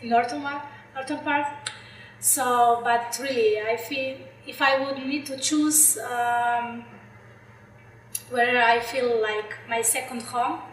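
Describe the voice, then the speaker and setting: A woman's voice: a little high, fairly even intonation, takes it slowly, a little hiss or hum. A young woman with a Slovenian accent, answering interview questions in English with many fillers and restarts. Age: young adult. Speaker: interviewee, PhD candidate. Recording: echoey room, mic far off.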